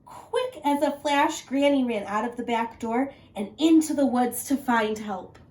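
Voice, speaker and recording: A woman teacher reading a children's story aloud in an animated narrating style. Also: a little high, a little slow, distant mic.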